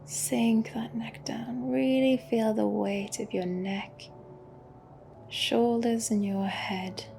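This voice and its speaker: A woman leads a guided meditation gently and soothingly, in a soft, breathy voice that often drops close to a whisper. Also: on the high side, steady tone, slight background noise. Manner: gentle, unhurried, with long pauses. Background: music.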